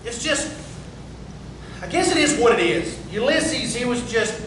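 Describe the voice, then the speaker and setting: An actor reading a proud, volatile, embittered working-class man in a stage drama, agitated, halting and profane. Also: extremely high pitch, lively intonation, recorded from a distance. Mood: bitter, despairing. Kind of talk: theatrical table reading. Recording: very echoey room from afar, faint noise.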